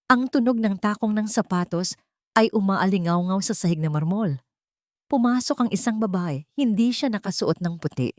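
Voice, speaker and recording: A woman's voice: lowish voice, a little mellow, no room sound, clean studio sound. A woman narrating a scripted love story in a dramatic storytelling manner.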